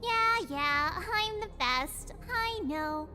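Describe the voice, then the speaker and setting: Little video game braggart, very high childlike voice, teasing, cocky, music behind. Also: female voice, expressive, slow pace. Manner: boastful, teasing. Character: small, cocky, high-pitched video game character who brags. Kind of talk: video game battle voice lines.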